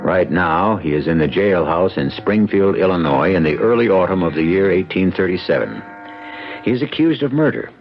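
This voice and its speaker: Casting: male radio mystery narrator, low and highly expressive, theatrical phrasing, muffled vintage recording, music behind. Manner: theatrical, rhetorical, deliberate phrasing. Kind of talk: old-time radio scripted narration.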